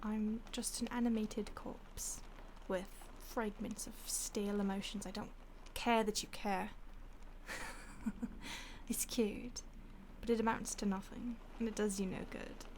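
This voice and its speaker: A woman playing an ancient, detached vampire stalker in an intimate audio roleplay, with a breathy voice that often drops to a whisper and a languid, teasing manner. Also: mid-range voice, steady tone, slow, a little mellow, a little hiss or hum, natural voice. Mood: dismissive, condescending. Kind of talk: intimate audio roleplay, ASMR-like acting addressed to the listener.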